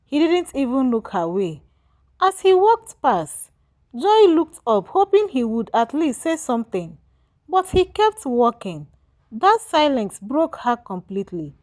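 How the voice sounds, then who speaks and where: Animated high-pitched woman telling a folktale. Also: measured pace. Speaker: storyteller. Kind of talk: scripted folktale narration.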